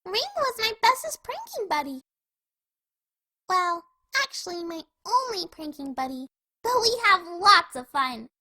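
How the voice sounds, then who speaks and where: A very high, bright cartoon pony girl, gleeful and hungry. She squeals with childlike eagerness and swoops around in pitch. It is an excitable storybook character voice. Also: unhurried, full character voice. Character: gleeful, gluttonous, hyperactive young female cartoon pony. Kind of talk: character voice in a fan fiction reading.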